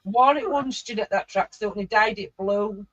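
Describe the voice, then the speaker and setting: A woman's voice: medium pitch, normal speed. A woman, chatting casually. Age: 50s. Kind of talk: casual chat. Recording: dull audio, clicks and faint noise.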